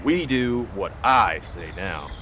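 A man's voice: on the low side, lively intonation, takes it slowly, pushed and bright, full character voice. An actor playing a greedy, defiant outlaw henchman in a western radio drama, belligerent and demanding. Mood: domineering, gloating. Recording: outdoor nature ambience behind, faint noise.

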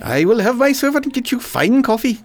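Animated bazaar shopkeeper in broken English, acted out. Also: medium pitch, moderate pace. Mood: eager salesmanship. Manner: broken English, repeats phrases, salesman's patter. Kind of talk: audio drama acting.

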